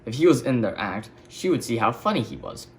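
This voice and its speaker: A man's voice: medium pitch, big pitch swings, a little fast, slight background noise. A man reading a children's story as a scripted narration. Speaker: storybook reader.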